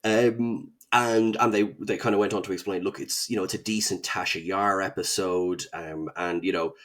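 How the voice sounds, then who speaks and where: A man chatting casually as a podcast guest, animated and playfully dramatic. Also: low-pitched, moderate pace. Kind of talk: casual interview chat.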